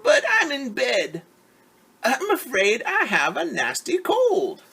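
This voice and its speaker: A man's voice: extremely high pitch, wildly swooping pitch, takes it slowly, sharp tone, voice pushed up, exaggerated voice. A man voicing a wolf who fakes a scratchy, sickly old grandmother's voice. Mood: feigned frailty. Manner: croaky, sickly old-woman voice.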